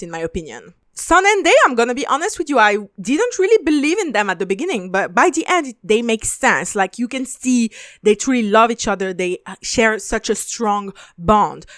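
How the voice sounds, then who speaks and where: Young woman vlogger, animated and opinionated, fairly high voice, dry close sound. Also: dry recording. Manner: animated, frequent "like" fillers, emphatic repeats. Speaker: video essay host reviewing a show. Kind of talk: casual opinionated commentary.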